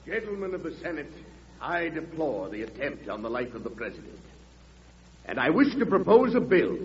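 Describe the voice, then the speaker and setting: Casting: pompous orator senator, highish animated voice, booming hall reverb, vintage radio drama. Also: male voice, recorded from a distance. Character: oratorical American senator, grave and self-important. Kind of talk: old-time radio drama acting. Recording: dull old radio recording, long hall reverb.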